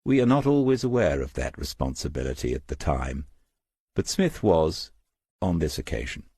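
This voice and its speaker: Man, audiobook narrator, very low pitch, dry close sound, measured crime storytelling. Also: sing-song, mellow, slightly rough, dry recording. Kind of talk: scripted crime fiction narration.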